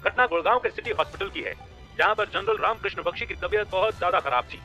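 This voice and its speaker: A man's voice: high-pitched, fast talker, pushed and bright, faint music behind, pitched above the performer's own voice. A man voicing a female TV news anchor delivering a sensational, dramatic report in an audio story. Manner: dramatic, heightened.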